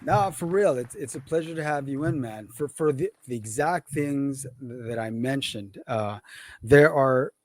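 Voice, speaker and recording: A man's voice: mid-range voice, unhurried, darkish tone, some chatter behind. A man hosting a casual hip hop interview show, emphatic and slangy, repeating phrases for effect. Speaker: podcast and livestream host.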